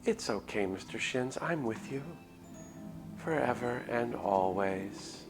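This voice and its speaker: A man voicing a young woman in a visual novel game, a sweetly eerie cult recruiter with a soft, pleading manner. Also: mid-range voice, lively intonation, slow, music in the background. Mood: eerily soothing. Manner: soft, pleading, coaxing delivery.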